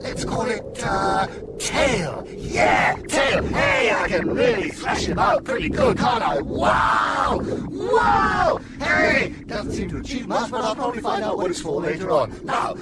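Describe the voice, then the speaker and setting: An actor playing a naive, excitable newborn sperm whale in a radio comedy, chattering questions to himself in breathless wonder. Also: male voice, high-pitched, over a noisy crowd, heavy background noise, exaggerated voice. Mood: curious wonder. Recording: loud rushing roar behind voice.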